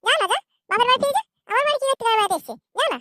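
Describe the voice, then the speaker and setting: A woman's voice: very high voice, expressive, very fast, bright, forceful tone, no echo, studio quality, full character voice. A woman voicing a fickle, money-minded young girlfriend in a comedy cartoon, dismissive and snappish. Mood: angry, dismissive.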